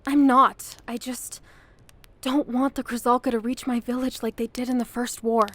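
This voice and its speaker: A woman's voice: a little high, level tone, moderate pace, fairly bright tone. A woman playing an earnest, headstrong young woman in an audio drama. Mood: insistent, defensive.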